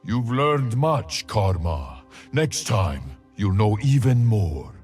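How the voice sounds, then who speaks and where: An actor playing a solemn, resolute warrior shaman in a video game, with a gravelly voice and weighty, deliberate delivery. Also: fairly low pitch, sing-song, darkish tone, faint music behind. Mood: calm, approving. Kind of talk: video game character acting.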